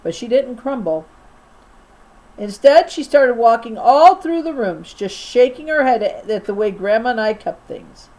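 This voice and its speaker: A woman reads a children's story aloud as a teacher would for her class. Her voice sits a little high, and she narrates expressively. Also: lively intonation, unhurried, mellow, low-quality recording. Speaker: teacher reading to fourth grade class.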